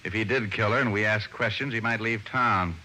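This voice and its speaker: A man's voice: lowish voice, a little fast, pushed and bright, poor audio. An actor playing a wry, flippant male private detective, speaking and narrating in an old radio drama. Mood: measured, wry.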